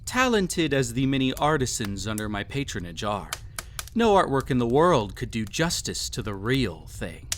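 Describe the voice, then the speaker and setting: A man's voice: medium pitch, big pitch swings, slight background noise, close to an everyday voice. Man acting a haughty, cruel emperor with sweeping intonation. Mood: haughty pride. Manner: haughty, formal, mocking, deliberate theatrical phrasing. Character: cruel, arrogant, possessive male emperor, devious and condescending. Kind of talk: scripted audio roleplay, villain monologue addressed to listener.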